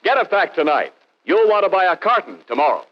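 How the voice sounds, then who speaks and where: A male radio announcer from the 1940s, bantering and pitching products with an emphatic salesman's delivery. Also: big pitch swings, brisk, noisy background. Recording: dull, band-limited 1940s radio recording.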